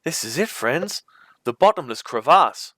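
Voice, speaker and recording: A man voicing an excited, hearty geologist on a polar expedition in a storybook. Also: on the high side, measured pace, dry recording, pitched above the performer's own voice, exaggerated voice. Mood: gleeful. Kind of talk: storybook character voice.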